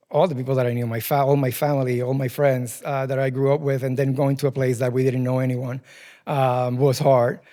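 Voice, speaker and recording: A man's voice: mid-range voice, mellow. A man in his forties with a Puerto Rican Spanish accent, Spanish his first language, answering interview questions thoughtfully with many ums. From Puerto Rico. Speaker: guest, furniture dealership president.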